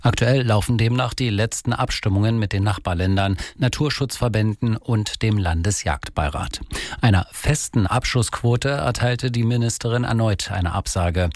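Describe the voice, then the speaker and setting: Male reporter, low voice, dry sound, even formal read. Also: no room sound. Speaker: radio reporter. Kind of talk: scripted news report.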